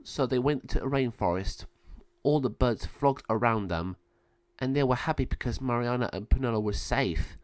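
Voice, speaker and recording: A man's voice: mid-range voice, normal speed, dry recording. A man reading a children's adventure story aloud as a scripted narration.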